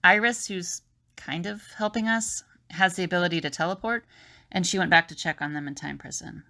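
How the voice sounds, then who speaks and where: A woman's voice: on the low side, fairly even intonation, fairly quick, sharp tone. A woman playing a resolute, earnest fugitive in an amateur audio drama. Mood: earnest, explaining.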